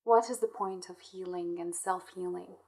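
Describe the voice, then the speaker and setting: A woman's voice: fairly low pitch, fairly even intonation, normal speed, darkish tone. A woman interviewer talking reflectively and conversationally about her own experience.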